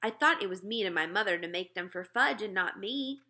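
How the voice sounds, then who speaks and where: A woman reads a children's novel aloud. Her voice is bright and forward, at an average pitch, and her narration is expressive. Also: fairly quick.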